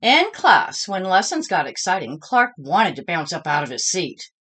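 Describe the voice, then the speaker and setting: A woman reading a children's story aloud in a dramatic, expressive style, speaking to young listeners as she goes. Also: fairly low pitch, moderate pace, husky. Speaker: storybook reader.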